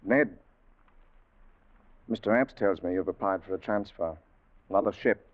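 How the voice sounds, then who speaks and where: An actor playing a haunted, grieving but resolute sea captain in an old-time radio drama, grave and halting. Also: male voice, sits low. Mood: subdued, hopeful. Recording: muffled vintage radio recording.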